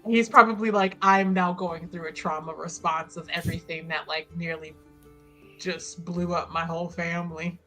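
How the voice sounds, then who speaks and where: A woman's voice: fairly low pitch, steady tone, moderate pace, sharp tone, soft background music. A woman playing a tabletop roleplaying game, narrating and chatting casually with a jokey, sweary edge.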